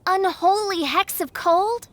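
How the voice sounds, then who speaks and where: A woman's voice: very high-pitched, slow pace, background noise. A woman voicing a haughty teenage self-styled princess in a video game, grandiose and archaic in delivery. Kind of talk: English video game voice acting.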